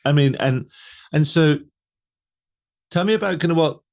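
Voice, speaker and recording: A man's voice: fairly high pitch, moderate pace, creaky. A man hosting an interview, talking in a loose, conversational way with many fillers such as "you know" and "like". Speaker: interview host.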